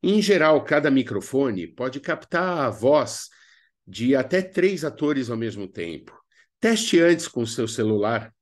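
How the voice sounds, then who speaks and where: A man's voice: medium pitch, big pitch swings, slow pace. A man with a Brazilian accent presenting an instructional lesson in a didactic way, as a workshop teacher. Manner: didactic, asks rhetorical questions and answers them. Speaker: radio theatre workshop instructor.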